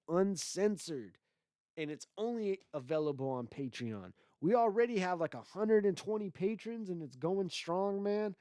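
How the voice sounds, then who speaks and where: A man's voice: high voice, a little mellow, clear, resonant tone, dry recording, studio quality. A man hosting a solo livestream podcast, talking in a loose, rambling way with a lot of swearing, his voice stuffy from congestion. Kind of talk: casual profane livestream rant.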